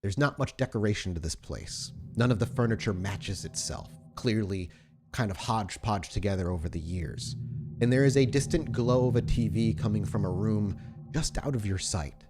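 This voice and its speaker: A man narrating a tabletop horror role-playing game as game master, setting scenes in descriptive, deliberate detail. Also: on the low side. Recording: music playing under the voice. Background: music.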